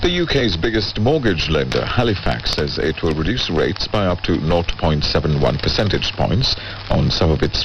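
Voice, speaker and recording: A British man reading scripted news as a radio presenter, heard over shortwave radio. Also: rumbling low. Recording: dull, noisy shortwave radio relay.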